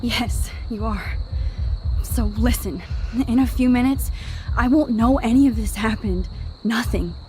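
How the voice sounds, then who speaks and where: Woman acting haunted teenage girl, American accent, high voice, halting and earnest, game drama, faint background noise. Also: darkish tone, natural voice, rough recording. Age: young adult. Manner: hesitant, halting, emotionally pleading.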